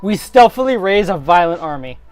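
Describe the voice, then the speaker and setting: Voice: mid-range voice, big pitch swings, brisk, darkish tone, soft background music, close to an everyday voice. A narrator voicing a brash, eager young male fantasy hero in a story reading. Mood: scheming.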